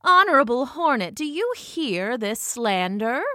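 A woman's voice: on the high side, sing-song, slow, bright, forceful tone, dry recording, clean studio sound, pitched above the performer's own voice, heavily acted. A woman voicing a haughty, argumentative queen wasp, emphatic and easily offended, in a children's story.

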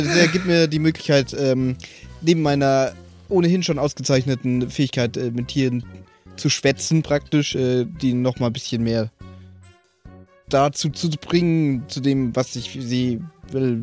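A man's voice: medium pitch, fairly even intonation, normal speed, a little mellow, soft background music. A man chatting casually as a tabletop game player, explaining in a hesitant, self-correcting way. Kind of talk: casual chat.

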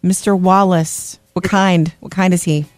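A woman's voice: lowish voice, steady tone, measured pace, no room sound, soft background music. A woman hosting a radio show, chatting enthusiastically with callers and reading entertainment news.

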